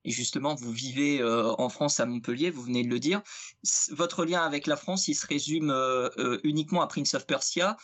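A man's voice: medium pitch, fairly even intonation, normal speed, fairly bright tone. Man asking interview questions. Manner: friendly, a little hesitant. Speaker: co-host, editor.

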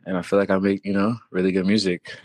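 A young man, originally from Florida, tells his story as a podcast guest. His voice sits low and he talks in a relaxed, slangy way. The recording is close and dry. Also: fast talker, no room sound. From Florida, born in Haiti. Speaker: guest rapper and songwriter. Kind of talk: casual podcast interview.

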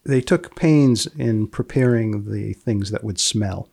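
A man hosting a podcast in a dry, deadpan manner, with a gravelly, husky voice, asking questions and reading announcements. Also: on the low side, slow pace, no echo. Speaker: podcast host and magazine editor. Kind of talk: interviewing a guest about a research paper, scripted sign-off.

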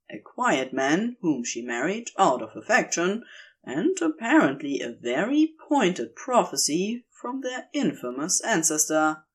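A woman narrates a story in a slightly low voice. She reads at a slow, measured pace, and her pitch moves expressively as she goes, in the manner of a storyteller. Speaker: podfic narrator reading a story.

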